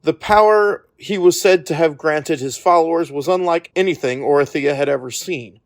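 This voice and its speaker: A man reading scripted fantasy audiobook narration in a measured storytelling manner. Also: fairly high pitch.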